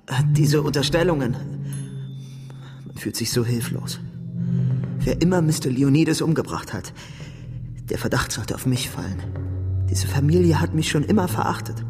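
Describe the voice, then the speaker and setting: A man's voice: mid-range voice, expressive, moderate pace, pauses often, natural voice. A man playing an anxious, bitter, timid tutor in a radio crime drama, hesitant and aggrieved. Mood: nervous, aggrieved. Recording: reverberant hall, music underneath.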